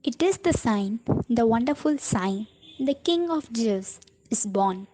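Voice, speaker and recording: A solemn Magi king puppet in a school nativity, played by a woman, speaks in a mellow, slightly high voice. There is faint noise on the recording. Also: lively intonation, slow, low-quality recording. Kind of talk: school puppet show acting.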